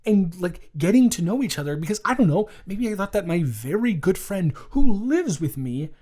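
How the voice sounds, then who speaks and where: A man playing a young best friend, indignant yet gentle, in an improvised intimate audio roleplay with halting, emotional, natural speech. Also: high-pitched, big pitch swings. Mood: angry, indignant.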